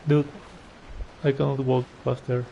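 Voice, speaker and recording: Slow, mellow game streamer. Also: medium pitch, level tone, creaky, muffled recording, a little hiss or hum. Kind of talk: casual gameplay commentary.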